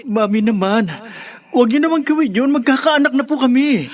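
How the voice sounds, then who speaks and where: An actor playing an earnest, wounded young adult son in a radio drama. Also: male voice, very high-pitched, lively intonation, normal speed, background noise. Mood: pleading.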